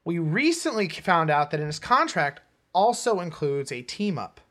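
A man co-hosting a podcast, chatting casually about comics and films in a rambling, halting way with false starts. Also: on the high side, big pitch swings, bright, forceful tone. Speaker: podcast co-host. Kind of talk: casual comics and film chat.

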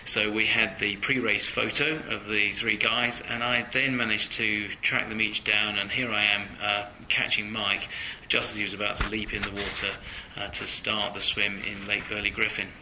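Gravelly low-voiced man narrating. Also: normal speed, hardly any pauses, noisy background. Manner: relaxed, recounting with hesitations. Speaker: podcast host. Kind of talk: recorded narration.

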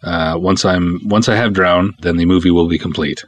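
A man's voice: low-pitched, fairly even intonation, moderate pace, muffled recording. A man hosting a podcast as a film director, talking casually and reflectively with emphatic, conversational phrasing. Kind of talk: casual solo podcast talk.

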